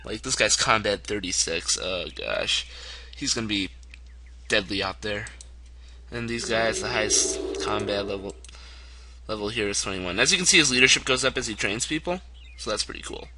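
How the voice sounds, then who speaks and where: A young man with a hoarse voice, casually commenting on a strategy game he is playing at home, rambling and chuckling often. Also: lowish voice, moderate pace. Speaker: let's play gamer. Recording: steady background noise.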